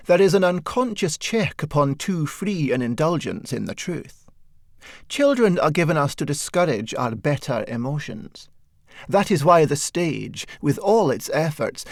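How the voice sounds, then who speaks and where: A man narrating a satirical short story as an audiobook, with measured, wry storytelling. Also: on the high side, very animated, creaky, no room sound, studio quality.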